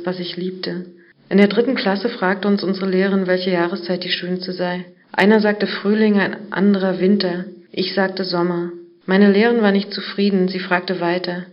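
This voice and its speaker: A woman from East Germany narrates a personal essay in a calm, measured voice. Her pitch stays level and flat. The recording sounds dull and lo-fi. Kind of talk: reflective first-person narration.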